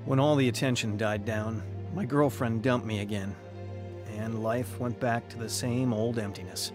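A man's voice: mid-range voice, fairly even intonation, normal speed, close to an everyday voice. An actor playing a troubled, searching young man who tells his own story earnestly in a radio drama. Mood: somber, reflective. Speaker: narrator and lead actor. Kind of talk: dramatized first-person radio testimony. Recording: music behind the voice.

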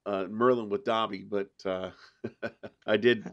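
A man hosting a casual review discussion, talking in a halting way as he searches for words. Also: fairly low pitch, fairly even intonation, highs cut off. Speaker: podcast host.

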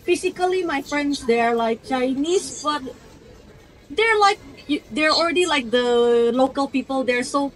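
A woman's voice: high voice, a little slow, poor audio. A young woman from Indonesia, chatting and laughing easily in a café. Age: young adult. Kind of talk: casual chat. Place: indoor café and mall.

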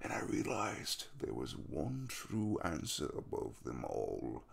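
Guy playing a chill caring young crush in asmr roleplay, super swoopy pitch, heavy fry, rambly and teasing. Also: huge pitch swings, slow pace, heavily acted. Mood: playful, teasing. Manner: rambling, casual, jokey and reassuring. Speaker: audio roleplay performer. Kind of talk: intimate ASMR boyfriend roleplay, conversational.

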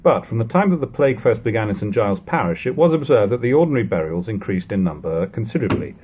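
A man in his thirties giving a measured, even reading of old prose at home on a webcam. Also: on the low side, breathy edge. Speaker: reader of a classic book. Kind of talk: unhurried audiobook reading. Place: living room at home.

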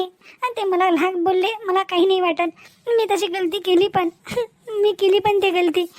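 A very high-pitched young wife, acted by a woman, from a homemade cartoon family melodrama. She is devoted and wounded, pleading in a plaintive, emotional way and repeating herself. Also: no room sound. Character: young newlywed wife, devoted, hurt and tearful. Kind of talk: amateur Marathi cartoon melodrama dialogue.

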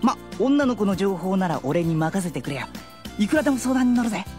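A woman's voice: medium pitch, big pitch swings, a little fast, music in the background, lightly acted. A woman voicing a chummy, girl-crazy teenage boy, brash and eager, in an audio drama. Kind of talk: scripted Japanese anime-style audio drama acting.

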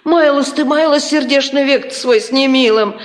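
Actress playing sly old peasant mother, coaxing folksy wheedle, highish lively voice, roomy old radio drama. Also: normal speed, natural voice. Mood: sly, insinuating. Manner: sing-song folksy wheedling. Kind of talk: Soviet 1950s radio play acting. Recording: roomy 1950s radio recording.

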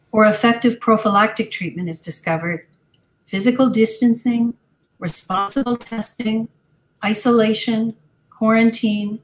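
A woman of middling pitch reads prepared remarks over a webcam, formal and measured. Her delivery is steady and scripted, as a dean addressing a town hall. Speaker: dean and committee chair.

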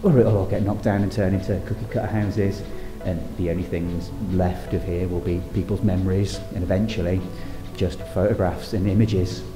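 Brief: male explorer-vlogger, thirties, deep, dry humour, music bed. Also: fairly even intonation, normal speed, hardly any pauses, rough recording. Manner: wry, chatty, marvels at decay. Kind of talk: on-location exploring commentary and voice-over narration. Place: outdoors and in derelict sheds.

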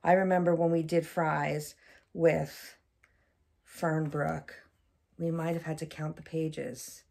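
A woman's voice: low voice, level tone, slow pace, vocal fry, darkish tone. A middle-aged woman chatting informally to a child on a home video before and after reading aloud. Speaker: parent volunteer reading aloud. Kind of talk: casual chat to a child on video. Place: small furnished room at home, seated on sofa.

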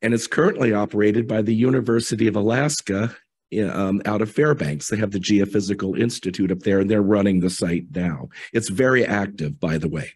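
A middle-aged man speaks at a lectern microphone, explaining engineering work in an easygoing, conversational way. His voice sits fairly low and sounds husky, hoarse from the tail end of a cold. He pauses with fillers as he goes through his slides. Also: a little mellow. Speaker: electrical engineer presenting. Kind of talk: technical conference talk.

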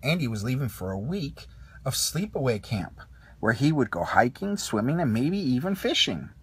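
A man narrating a children's storybook as an expressive read-along. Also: medium pitch, normal speed, slightly rough. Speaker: storybook reader.

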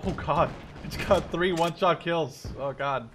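A man in his twenties hosting a gaming video, giving casual, excitable commentary and swearing often. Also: a little high, measured pace. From Netherlands. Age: 20s. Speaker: gaming channel host. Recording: noisy game audio behind voice.

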